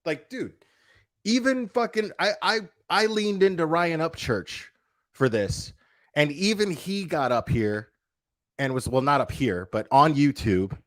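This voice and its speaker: A man's voice: on the high side, expressive, measured pace, fairly bright tone, no echo. Blunt, profane man ranting, dry close sound. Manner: emphatic repetition and rhetorical questions. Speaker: online commentator, livestreamer. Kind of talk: livestreamed opinion rant.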